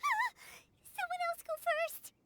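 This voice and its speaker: Squeaky excitable little fairy companion. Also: female voice, slow, very noisy, exaggerated voice. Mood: frightened. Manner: squeaky, exclaiming, speaks of self in third person. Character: small excitable floating fairy child companion, female. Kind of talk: anime video game acting. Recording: game music loud behind voice.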